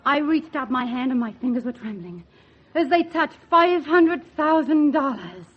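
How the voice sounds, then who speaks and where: High-voiced scheming secretary, vintage radio. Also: female voice, expressive, moderate pace, music in the background. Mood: tense, triumphant. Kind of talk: 1940s radio acting, first-person crime narration. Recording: dull vintage 1940s radio recording.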